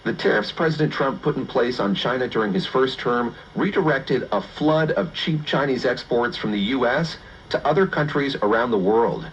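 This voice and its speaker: A man's voice: medium pitch, animated, moderate pace. A man hosting a radio news programme, asking questions and reading narration, heard through an AM receiver. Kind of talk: interview questions and scripted narration. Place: played through a shortwave receiver speaker. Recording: dull AM radio, faint static.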